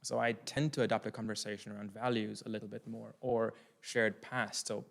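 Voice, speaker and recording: A man's voice: lowish voice, flat delivery, fairly quick, vocal fry. Young irish-raised guy at a conference, stops and restarts a lot, talks into a desk mic. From Ireland. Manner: thoughtful, restarts often, talks with his hands. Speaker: keynote speaker. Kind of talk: conference talk answering a question. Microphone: seated at a desk mic on a stand.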